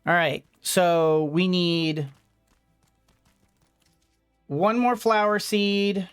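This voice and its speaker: A woman giving casual video game commentary, halting as she thinks aloud. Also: fairly low pitch, clean tone. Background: game music.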